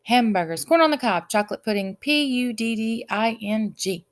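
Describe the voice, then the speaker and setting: A woman's voice: fairly high pitch, expressive, moderate pace, voice pushed up, close to an everyday voice. A woman voicing a fussy, precise talking bee in a storybook reading. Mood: reciting. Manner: spells words out letter by letter. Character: fussy talking bee who spells out words.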